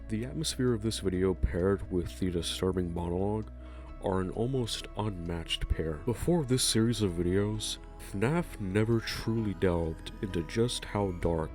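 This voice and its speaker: A man's voice: deep voice, darkish tone. A man narrating a ranking video in a scripted, enthusiastic way, swearing casually. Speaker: video host.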